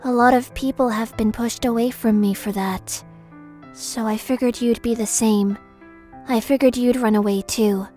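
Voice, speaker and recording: Casting: high-pitched, reserved young fiancée for a romance manga dub, played by a woman, halting and sincere, music faintly behind. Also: fairly even intonation, darkish tone, lightly acted. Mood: quietly sincere. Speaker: voice actress.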